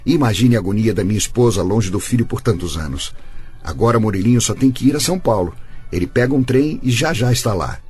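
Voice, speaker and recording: A low-voiced, effusive gentleman lawyer. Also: expressive, fast talker, husky. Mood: delighted, effusive. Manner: courteous, effusive, rambling. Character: Doutor Peçanha, genial, patriotic, long-winded male lawyer. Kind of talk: radio drama acting.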